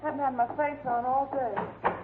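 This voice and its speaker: An actress playing an anxious, pleading femme fatale in a melodramatic 1940s radio detective drama. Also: female voice, high voice, little pitch movement, normal speed, close to an everyday voice. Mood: nervous. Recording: tinny, faintly noisy 1940s radio recording.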